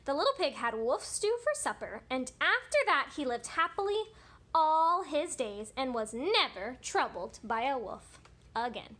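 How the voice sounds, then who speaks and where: A woman children's teacher with an expressive style, reading a storybook and addressing children. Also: sits high. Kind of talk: animated storybook read-aloud for children.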